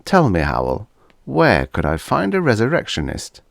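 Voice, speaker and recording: A man's voice: lowish voice, wildly swooping pitch, normal speed, gravelly, no room sound, studio quality, voice pushed up, full character voice. A man voicing a desperate, cynical young widower in a science fiction audiobook, insistent and pleading. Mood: desperate, angry.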